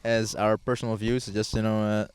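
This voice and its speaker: A young man from the Netherlands chatting casually as a podcast guest outdoors at a barbecue, relaxed and hesitant, with lots of ums and restarts. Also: on the low side, brisk, clear, resonant tone. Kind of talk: casual chat.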